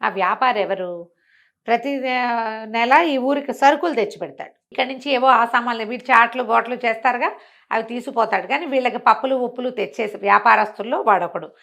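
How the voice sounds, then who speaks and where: A woman telling a bedtime story in a conversational, expressive way, with rhetorical questions and acted-out exclamations from villagers. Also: on the high side, fairly quick.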